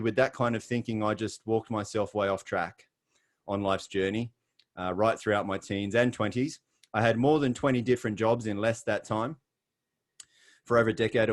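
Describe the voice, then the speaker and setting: A middle-aged Australian man presenting on a video call, chatty and jokey with a self-deprecating streak. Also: lowish voice. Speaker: guest presenter. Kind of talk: webinar presentation.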